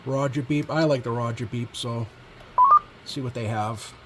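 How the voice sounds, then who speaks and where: A man's voice: medium pitch, unhurried. A man giving a casual hands-on product demo, speaking informally and a bit hesitantly as he thinks aloud. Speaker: product reviewer. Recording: clear steady background noise.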